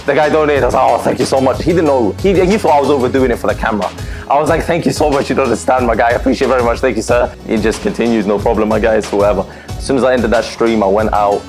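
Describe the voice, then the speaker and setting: A young man from Lebanon, telling his story as a streamer, candid and animated, full of fillers. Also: mid-range voice. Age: young adult. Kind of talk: personal interview storytelling. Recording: music bed under voice.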